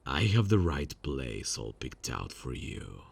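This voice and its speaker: A man voicing a gravelly whispering campus killer. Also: slow pace, full character voice. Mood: cold amused. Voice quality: gravelly, husky low voice. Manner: calm, quiet, menacingly soft, often near a whisper. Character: soft-spoken male campus serial killer, calm and menacing. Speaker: voice actor. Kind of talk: ASMR audio drama.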